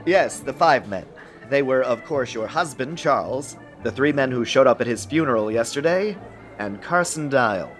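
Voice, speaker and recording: An actor playing a measured, secretive government intelligence official in a radio drama, speaking in a dry, deliberate way. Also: male voice, mid-range voice, lively intonation, soft background music, natural voice. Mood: explanatory.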